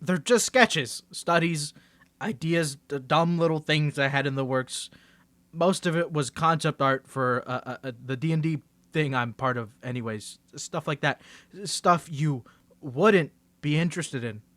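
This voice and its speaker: A man's voice: on the high side, unhurried, a bit bright, no echo. A man playing a nervous young guy hiding his superhero identity from his best friend in an ASMR roleplay, stammering through rambling excuses. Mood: defensive teasing. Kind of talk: ASMR roleplay audio drama.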